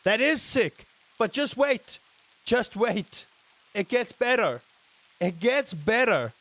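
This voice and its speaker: A man from England live-streaming a game, chatting casually with his viewers and breaking into excited exclamations. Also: sits high, takes it slowly. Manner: animated, rising to excited exclamations; chatty, addresses chat directly. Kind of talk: live-streamed gameplay chat.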